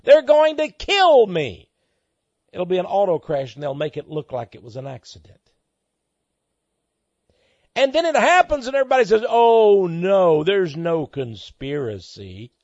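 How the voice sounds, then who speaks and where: Male radio preacher type, impassioned and repetitive for emphasis, very animated delivery, dry but slightly muffled sound. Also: high-pitched, measured pace, pauses often, no room sound. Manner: emphatic, dramatic, repeats phrases for effect. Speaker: talk show host and preacher. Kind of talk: impassioned monologue to listeners.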